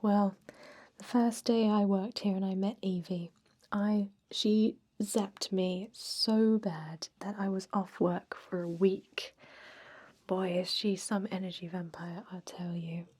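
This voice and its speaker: A chatty, cynical female vampire, played by a woman in an ASMR roleplay. She speaks in a soft, breathy whisper with a mellow tone and an unhurried pace. Her manner is casual and gossipy, like a co-worker showing a newcomer the ropes. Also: mid-range voice, fairly even intonation. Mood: gossipy, matter-of-fact. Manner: casual, gossipy, hesitant filler-laden asides.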